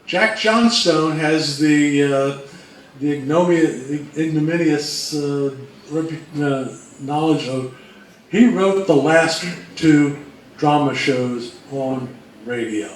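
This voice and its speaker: Higher-voiced man lecturing from across an echoey room. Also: takes it slowly. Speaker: co-presenter of a talk on old-time radio history. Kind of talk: informal historical presentation. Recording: echoey room from far off, faint noise.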